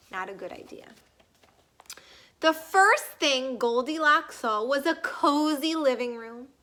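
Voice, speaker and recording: A woman reading a story aloud for young children in an animated, emphatic way, pausing for question asides. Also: high voice. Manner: animated, emphatic, drawn-out key words. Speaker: storybook reader.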